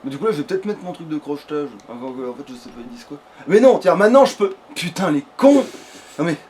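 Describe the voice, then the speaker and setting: Grumbling, higher-pitched gamer guy, noisy recording. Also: sing-song, fast talker, darkish tone. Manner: grumbling, swears freely. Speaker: gamer playing in a let's play. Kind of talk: casual gaming commentary. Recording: noisy home game recording.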